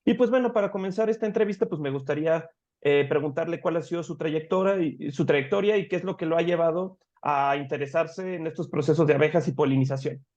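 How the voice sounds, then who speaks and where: A man's voice: fairly high pitch, fast talker, darkish tone. Hesitant male interviewer, dull lo-fi sound. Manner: hesitant, many fillers. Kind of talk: interview questions.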